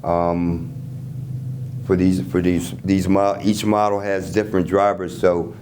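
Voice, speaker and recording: Low, mellow middle-aged man, halting. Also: level tone. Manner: halting, repeats words as he explains. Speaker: loudspeaker creator being interviewed. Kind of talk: casual product explanation. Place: hotel room at an audio show. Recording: noisy room ambience.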